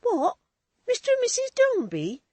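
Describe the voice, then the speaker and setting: A reader voicing a nervous young male servant in an audiobook, with a high, hushed, evasive manner. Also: huge pitch swings, measured pace, no echo, exaggerated voice. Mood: flustered. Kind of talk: audiobook character voice.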